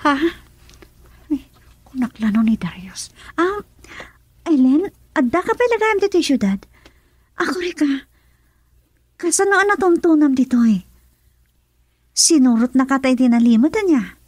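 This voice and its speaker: A woman's voice: high voice, very animated, normal speed, long pauses, no echo. An actress playing an emotional, defensive young woman in an Ilocano radio drama, with an Ilocano accent. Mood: nervous.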